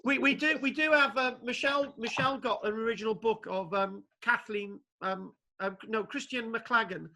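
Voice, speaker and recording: A man's voice: very high-pitched, moderate pace, vocal fry, hardly any pauses, sharp tone, poor audio. A man lecturing over a video call, stammering and repeating words, with many fillers as he leads a discussion. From Wales.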